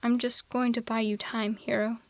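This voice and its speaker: A woman playing a haughty, sardonic telepathic villain in an ASMR roleplay audio drama, theatrical and quick to justify herself. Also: a little high, level tone, dry recording, natural voice. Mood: wry, softening. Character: female telepathic villain, haughty, misanthropic, secretly soft-hearted. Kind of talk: ASMR roleplay audio drama, close one-sided dialogue.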